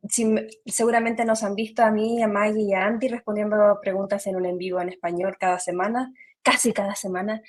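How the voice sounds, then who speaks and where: Chilean woman, friendly guest. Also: mid-range voice. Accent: Chilean Spanish. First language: Spanish. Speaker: guest staff member. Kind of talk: live-stream Q&A.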